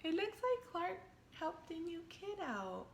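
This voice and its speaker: A woman reading a children's storybook aloud in a deliberate, animated, instructive way, pausing to question her listeners. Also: very high voice, vocal fry, a little hiss or hum. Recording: roomy, echoey sound.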